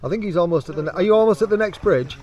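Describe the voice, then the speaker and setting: Chummy British man, lively outdoor vlog chat. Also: sits high, rapid-fire, highs cut off. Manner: chummy, joking with his friends. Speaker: vlogger presenting a product test. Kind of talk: casual chat to camera. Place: outdoors on a canal, in a kayak. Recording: clear outdoor water and boat noise.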